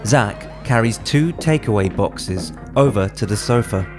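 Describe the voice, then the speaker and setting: A man's voice: fairly low pitch, expressive, measured pace. A man reading screenplay stage directions as a scripted drama narration. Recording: music under the voice.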